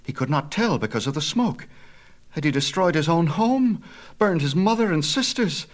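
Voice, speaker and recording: A man narrating a myth as an audiobook storyteller, with a theatrical, measured and emphatic delivery. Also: on the high side, sing-song.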